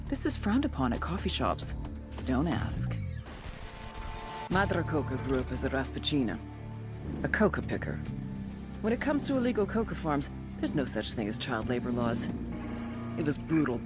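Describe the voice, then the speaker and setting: A wisecracking female agent narrates a game briefing. Her delivery is dry and crude, rising and dipping with lively emphasis. Music plays clearly behind her. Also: sits low. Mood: wry, grave. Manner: sardonic, crude, dry humour. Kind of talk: scripted sardonic narration. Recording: voice over music.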